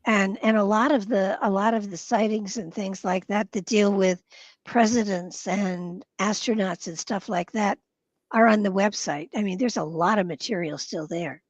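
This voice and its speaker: A woman's voice: mid-range voice, steady tone, moderate pace, no room sound, lo-fi sound. A woman speaking casually and conversationally as an interview guest.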